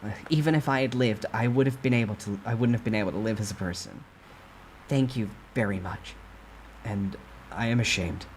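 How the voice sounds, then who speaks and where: A man's voice: lowish voice, brisk, a little hiss or hum, lightly acted. A man voicing a humble young soldier in a storybook reading, polite, sincere and a little hesitant. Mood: grateful, ashamed. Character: earnest, courteous, humble young male soldier.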